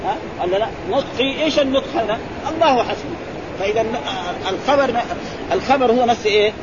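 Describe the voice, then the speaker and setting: A man with a lilt teaches grammar at a fast clip, his voice sitting high. He keeps firing little prompts at his students. The sound is muffled, with chatter and traffic noise around him. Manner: quizzing, prompting with repeated "eh?" and "ha?". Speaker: sheikh teaching Arabic grammar. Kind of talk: classroom explanation with questions to students.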